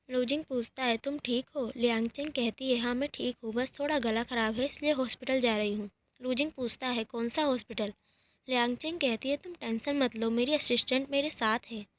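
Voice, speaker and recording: A woman narrating a recap of a romantic drama episode, reading every character's lines in her own plain, even voice. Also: fairly high pitch.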